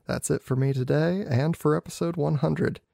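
A man's voice: a little high, steady tone, normal speed, creaky, dark tone, no room sound, clean studio sound. A man who hosts a podcast, talking casually and narrating a story. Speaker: podcast host.

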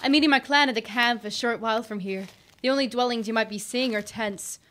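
A woman playing a gentle, independent woodland elf trader in a fantasy audio drama, polite and measured. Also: fairly high pitch, natural voice.

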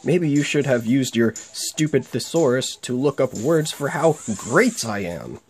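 A man's voice: medium pitch, expressive, a little slow, husky, voice pushed up. A man with a sore throat voicing a boastful, vain male robot from a game.

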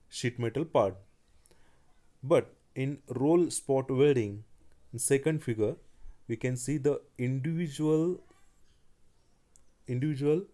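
A man giving a slide-based technical lecture, speaking haltingly with frequent restarts and fillers. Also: medium pitch, dark tone. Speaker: engineering lecturer.

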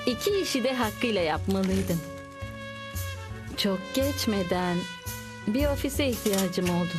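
Cartoon insect heroine voiced by a woman, film-noir detective narration, mock-serious and eager, clear music underneath. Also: medium pitch, animated, a little slow, close to an everyday voice. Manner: dramatic, mock-serious storytelling. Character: eager young female insect playing hard-boiled film-noir detective.

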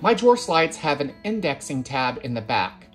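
A man's voice: medium pitch, very animated, moderate pace, over music. A man in his thirties giving casual step-by-step instruction as a tutorial host, recorded indoors in a workshop. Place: indoor woodworking shop. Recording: roomy echoing workshop sound.

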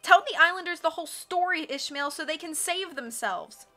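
A woman's voice: extremely high pitch, animated, moderate pace, pushed and bright, faint music behind, voice pushed up, heavily acted. A woman voicing an earnest, bookish young boy in a storybook, pleading and insistent.

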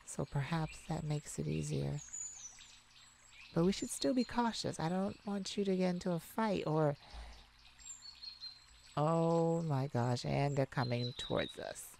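Woman acting as a kind shy mage in asmr roleplay, slow n stammery, birdsong behind her. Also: low-pitched, creaky, slight background noise, heavily acted. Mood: curious, cautious, then nervous, flustered. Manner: hesitant, stammering, soft-hearted. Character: kind, flustered, protective young mage, shy childhood friend. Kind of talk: ASMR romantic roleplay audio drama. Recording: steady outdoor birdsong ambience behind voice.

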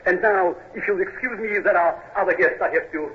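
An actor playing a suave, courtly Russian minister with a hesitant, unctuous, diplomatic manner in a 1950s radio drama. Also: male voice, high voice, brisk, slight background noise, lightly acted. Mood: gracious. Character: suave, courtly Russian government minister, middle-aged or older. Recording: dull, lo-fi old radio recording.

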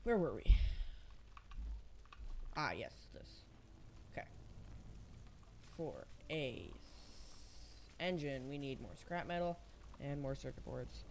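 A man's voice: medium pitch, animated, slow pace, vocal fry, pauses often, a little hiss or hum. A young man casually commenting on his own gameplay, thinking aloud and rambling as he builds. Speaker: gaming channel host.

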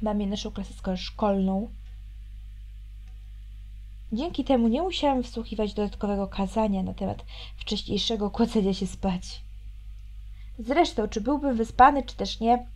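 A woman's voice: fairly high pitch, mellow. A woman reading a visual novel aloud expressively, with wry, teasing asides.

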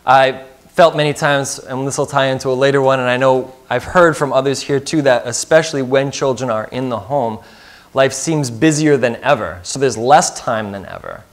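A man's voice: medium pitch, moderate pace. A man in his thirties preaching a sermon as pastor, earnest and putting questions to the congregation, recorded in a church sanctuary.

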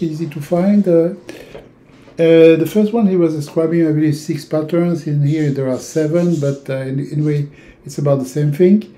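A man in his sixties from Europe, a native French speaker with a French accent, giving an informal, halting instructional talk with long hesitations. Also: on the high side, dark tone. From francophone Europe. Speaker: tarot teacher. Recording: roomy, echoing indoor sound.

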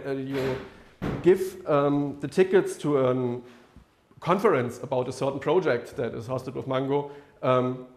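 A man's voice: medium pitch, a little mellow. A man in his thirties presents a technical idea to a meetup audience in a lecture room. He talks in a mid-range voice, stopping often on "um" and "uh" and starting his phrases over. He is seated at a laptop in front of a projector screen. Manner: hesitant, many fillers and restarts. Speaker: meetup speaker.

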